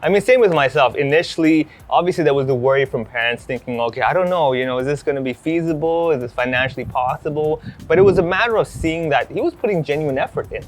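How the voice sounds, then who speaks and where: A man's voice: fairly high pitch, quick pace, hardly any pauses, faint music behind. A man in his thirties from Hong Kong, a comedian chatting casually and acting out bits of dialogue as he talks. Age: 30s. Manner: animated storytelling, acts out dialogue. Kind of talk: casual chat.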